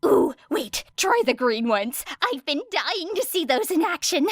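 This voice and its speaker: Woman acting a brash, high-pitched teenage girl inventor. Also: sing-song, a little slow, no room sound, studio quality. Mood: excited. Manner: boastful, eager.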